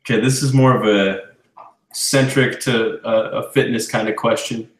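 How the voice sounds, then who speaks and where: A man's voice: lowish voice, steady tone, normal speed, roomy sound, low-quality recording. A man co-hosting a live Q&A, casually reading listener questions aloud.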